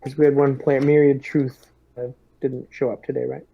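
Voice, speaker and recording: A man talks through a tabletop roleplaying session in a casual, halting way. His pitch moves very little. The sound is dull and roomy, like voice chat from an untreated room. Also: flat delivery, vocal fry, breathy edge. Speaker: player in a tabletop roleplaying game. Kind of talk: casual in-character and table talk during a tabletop game.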